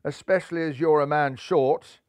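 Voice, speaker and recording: A man's voice: a little high, steady tone, normal speed, a little mellow, smooth, no room sound, close to an everyday voice. A man voicing a confident, teasing cat chairman in a children's story, genteel and self-satisfied. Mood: smug.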